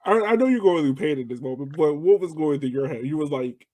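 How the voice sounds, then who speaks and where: A man's voice: fairly high pitch, lively intonation, fast, mellow, no room sound. A man with an American accent hosting a casual interview, informal and slangy, often repeating his phrases. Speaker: podcast host.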